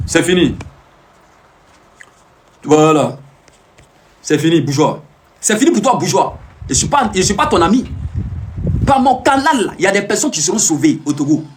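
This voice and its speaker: Man, live-stream monologue, high-pitched, swooping animated delivery, emphatic and repetitive, preaching warnings at viewers. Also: a little hiss or hum. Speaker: self-proclaimed peace ambassador streaming live.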